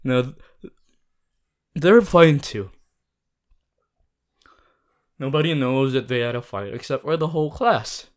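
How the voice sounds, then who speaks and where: Casting: male actor as earnest male student class president, fussy, caring, mid-pitched, for an ASMR roleplay addressed to the listener. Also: slow, long pauses, lightly acted. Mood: gently nagging. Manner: hesitant, repeating himself, gently bossy.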